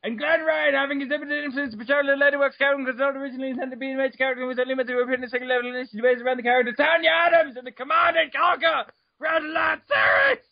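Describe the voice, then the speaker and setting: A man giving an over-the-top dramatic reading, loud and fast, tripping over his words as he goes. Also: very high-pitched, vocal fry, no room sound, highs cut off. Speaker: reader of a comic dramatic reading.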